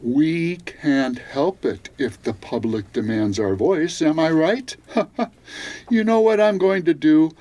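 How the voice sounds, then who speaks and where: A man's voice: a little high, slow, mellow. A man playing a laid-back, teasing late-night seventies music DJ with a jokey, self-satisfied manner.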